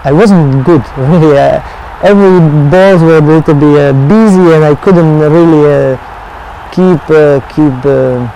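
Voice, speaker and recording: A former footballer with a Slovak accent recalls a match in halting non-native English, with many pauses and restarts. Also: male voice, on the high side, warm tone, slight background noise, low-quality recording. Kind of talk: interview.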